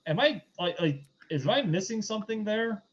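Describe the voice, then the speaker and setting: A man hosting a podcast, casually recapping and reviewing in a halting, rambling, sardonic way as he searches for words. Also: high voice, animated, fairly bright tone. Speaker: podcast host. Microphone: online podcast microphone. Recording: dull, lo-fi voice audio.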